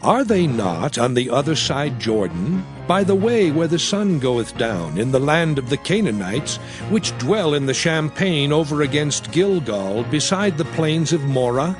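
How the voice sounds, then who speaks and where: A solemn, commanding prophet preaching to his people in an audio Bible drama. His voice sits fairly high and swings widely in pitch as he declaims with emphasis. Music plays behind him. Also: big pitch swings, measured pace, few pauses. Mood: solemn, declamatory. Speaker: Bible narrator. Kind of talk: dramatized scripture reading, sermon-like oratory.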